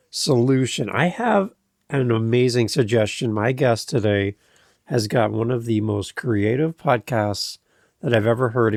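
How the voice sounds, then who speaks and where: A man's voice: medium pitch, creaky, a little mellow, no room sound, clean studio sound. A man hosting a podcast, speaking in an emphatic, jokey, effusive conversational way. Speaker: podcast host. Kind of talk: enthusiastic conversational interview intro.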